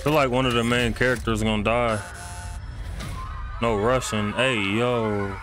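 A man's voice: medium pitch, fairly even intonation, a little slow, music in the background. A man giving casual livestream reactions to a game in slangy, repetitive phrases with plenty of swearing. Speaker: game streamer.

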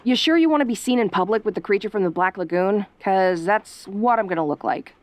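A woman's voice: medium pitch, brisk, natural voice. A woman playing a sassy tsundere tomboy daughter in an audio roleplay, talking in a casual, defensive, sarcastic way. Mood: flustered, apologetic. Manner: casual, defensive, sarcastic, hesitant when sentimental.

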